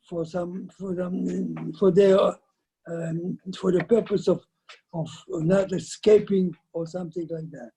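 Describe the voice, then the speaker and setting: An elderly man in his nineties tells his life story in an interview. His voice is very dark and husky, and he speaks slowly. He often halts and repeats words before finishing a thought. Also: sits high, low-quality recording. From Lithuania. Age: 90s. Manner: halting, with repeated words and broken sentences. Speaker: guest, survivor giving testimony. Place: indoors at home, by shelves of framed photos. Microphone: video call, computer microphone.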